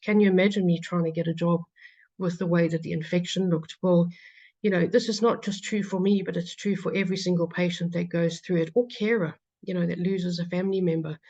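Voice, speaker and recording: A woman with a South African accent giving a conference talk as a patient advocate, pausing often with fillers and restarts. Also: on the low side, mellow. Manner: hesitant, many fillers and restarts. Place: lecture hall. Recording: dull lo-fi sound.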